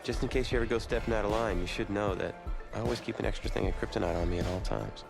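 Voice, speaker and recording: Low, creaky young teammate. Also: male voice, fairly even intonation, fast talker, over music. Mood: teasing. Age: young adult. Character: young college teammate, sincere, teasing loyal best friend. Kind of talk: naturalistic sports video game drama acting.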